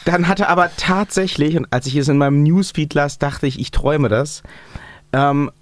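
A man's voice: a little high, fairly even intonation, moderate pace, dry recording. A man hosting a podcast in casual chat, with dry, teasing, mock-formal banter. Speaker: podcast host.

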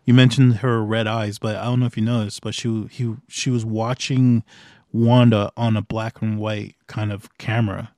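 Male co-host, lowish voice, quick interjections, dry close sound. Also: little pitch movement, darkish tone, dry recording. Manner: chatty, jumps in with asides and quick remarks. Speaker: podcast co-host. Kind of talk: casual chat.

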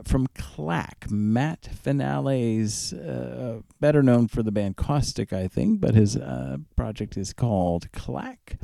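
A man working as a radio DJ, casually announcing tracks in a conversational, pausing way over background music. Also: mid-range voice, creaky, dark tone, no echo, studio quality. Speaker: radio DJ and host.

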